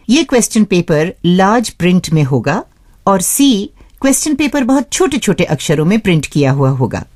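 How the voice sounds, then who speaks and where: A woman's voice: mid-range voice, a little mellow, no echo, clean studio sound. A woman presenting a scripted radio show, explaining things step by step and addressing listeners as friends.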